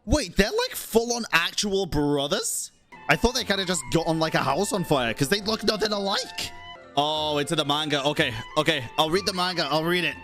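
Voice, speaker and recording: A man's voice: on the high side, lively intonation, normal speed, a bit bright. A man giving casual, slangy live gameplay commentary as a streamer, reacting candidly. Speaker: video game streamer. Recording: game music behind the voice.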